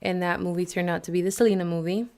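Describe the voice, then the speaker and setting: A chatty young woman from Boston, a singer, answers interview questions with rambling asides. Her voice is smooth and resonant. She often says "like" as she goes. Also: on the low side, fairly even intonation, fast, darkish tone. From Boston, USA. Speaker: guest singer. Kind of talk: casual interview.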